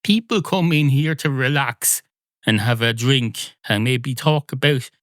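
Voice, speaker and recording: A man's voice: mid-range voice, unhurried, fairly bright tone, no echo, clean studio sound, close to an everyday voice. A man voicing a blunt, argumentative, exasperated barman in a comic podcast monologue. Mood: weary. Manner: blunt, sweary, dismissive.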